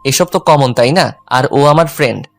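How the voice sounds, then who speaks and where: A man's voice: medium pitch, normal speed, gravelly, faint music behind, natural voice. A man playing a jealous, teasing young husband in a dramatized romantic audio story. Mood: defiant. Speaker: narrator and male lead of an audio love story.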